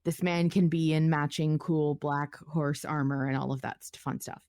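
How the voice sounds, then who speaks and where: A woman's voice: low voice, fairly even intonation, normal speed, a little mellow, no echo, clean studio sound. A woman hosting a podcast with casual, playful and wry banter. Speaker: podcast host.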